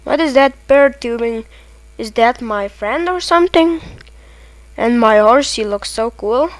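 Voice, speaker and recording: A Lithuanian-accented woman talks through a video game at an unhurried, slow pace. Her voice sits a little high, and she breaks into excited reactions as she plays. The recording is dry and close, with no room sound. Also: no echo. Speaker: let's play gamer. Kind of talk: casual gameplay commentary.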